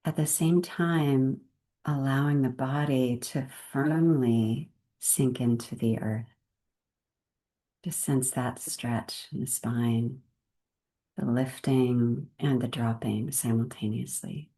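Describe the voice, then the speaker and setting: Slow, low-voiced woman guiding meditation. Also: steady tone. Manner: gentle, unhurried, measured with long pauses. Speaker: meditation guide. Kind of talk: guided meditation.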